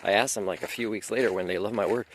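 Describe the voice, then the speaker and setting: A man whose first language is French talks on in a loose, wandering monologue with an aggrieved edge. His voice sits on the low side. He stops now and then to clear his throat. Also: fast talker, slight background noise, rough recording. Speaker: video lecturer. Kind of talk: unscripted monologue.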